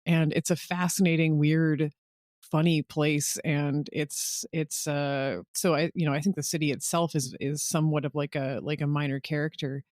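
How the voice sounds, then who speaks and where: A woman's voice: deep voice, flat delivery, normal speed, darkish tone, dry recording, clean studio sound. A woman speaking as a podcast creator in an informal, self-deprecating conversational style. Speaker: podcast creator, interview guest. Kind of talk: casual interview chat.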